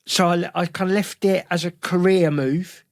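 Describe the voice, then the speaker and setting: A man's voice: sits high, steady tone, moderate pace, no echo, studio quality. A middle-aged man with a London accent, a guest musician telling rambling anecdotes in a casual interview. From London, England.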